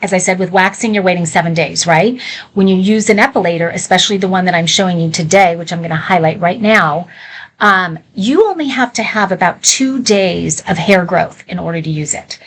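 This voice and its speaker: A woman's voice: lowish voice, brisk, slight background noise, low-quality recording. Chatty woman hosting live shopping. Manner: chatty, candid, conversational asides. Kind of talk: informal live product demonstration.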